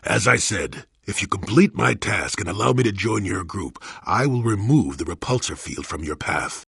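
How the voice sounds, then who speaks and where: Casting: crotchety old space-fantasy hermit for a video game, low and raspy, wry, swinging theatrically in tone. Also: male voice, expressive, moderate pace, fairly bright tone, no room sound, clean studio sound, full character voice. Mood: firm, bargaining. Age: older. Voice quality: gravelly, raspy. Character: cantankerous wry old male hermit, a Force-sensitive former Jedi. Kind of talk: video game character voice acting.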